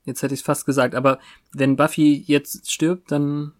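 A podcast co-host chatting casually about a TV series in a halting, spontaneous way. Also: mid-range voice, level tone.

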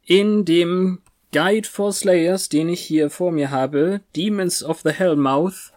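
A podcast co-host chatting casually about a TV series in a halting, spontaneous way. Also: mid-range voice, a little mellow.

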